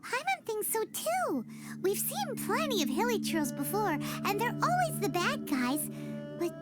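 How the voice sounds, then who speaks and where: A woman voicing a tiny, excitable childlike girl companion in an animated game style. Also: very high voice, unhurried, over loud music, exaggerated voice. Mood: worried. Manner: childlike, excitable, speaks of herself in third person.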